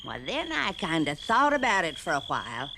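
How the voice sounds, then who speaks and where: A woman's voice: low-pitched, big pitch swings, moderate pace, gravelly, a loud crowd, full character voice. An actress playing a plain-spoken, folksy neighbor woman, nosy and defensive, in 1950s radio drama. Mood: defensive, gossipy.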